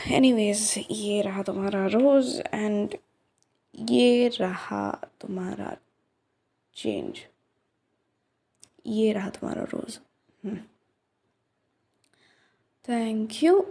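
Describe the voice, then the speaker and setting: A woman speaks slowly and softly to an unseen listener, teasing him gently as a young flower shop owner. Her voice sits a little high but sounds mellow and dark, with a gravelly fry under it. Now and then she sinks into breathy whispers. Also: animated. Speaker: voice actress in a listener roleplay. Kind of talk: ASMR roleplay, one side of a conversation.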